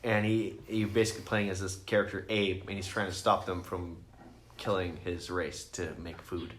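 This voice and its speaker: A man's voice: low-pitched, level tone, normal speed, a bit bright. A man co-hosting a podcast, chatting casually about films and games in a rambling, profane way peppered with "like.". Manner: rambling, profane, heavy use of "like". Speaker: podcast co-host. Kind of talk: casual film and video game chat. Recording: roomy echo, clear background noise.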